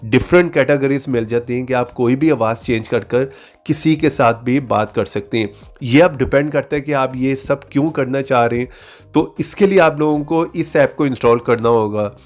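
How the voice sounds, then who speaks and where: A man's voice: mid-range voice, brisk. A man hosting a how-to tutorial, explaining an app step by step with repeated stock filler phrases. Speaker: tutorial channel host. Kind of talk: scripted-sounding how-to app tutorial narration.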